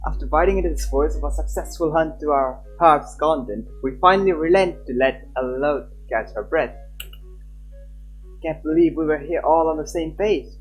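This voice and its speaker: A man live-streaming a game, reading aloud and chatting, halting at times and stumbling over his words. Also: on the high side, slightly rough, poor audio. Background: game music.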